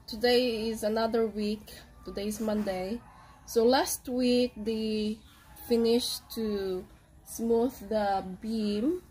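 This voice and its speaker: A woman's voice: fairly high pitch, darkish tone, a little hiss or hum, low-quality recording. A woman in her thirties chatting casually into a handheld phone camera on a home vlog and laughing often. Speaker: vlogger. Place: house under renovation in the countryside.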